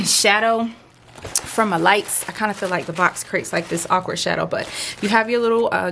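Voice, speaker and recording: A woman's voice: mid-range voice, a bit bright. A woman, chatting casually through a planner unboxing, a bit stuffy from a sinus cold, rambling and friendly with lots of fillers. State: slightly stuffy, congested from sinus trouble. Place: indoors at a desk under lights. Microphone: overhead camera, hands only.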